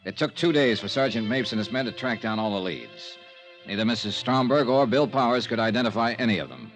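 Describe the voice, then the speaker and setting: A world-weary private investigator tells his case like a report, clipped and matter-of-fact. It is old-time radio detective drama, with music clearly behind the voice. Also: fairly low pitch, lively intonation, brisk, fairly bright tone, rough recording. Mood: businesslike, dry. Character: brisk, dry, world-weary male insurance investigator. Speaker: insurance investigator and narrator. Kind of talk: 1950s radio detective acting and narration.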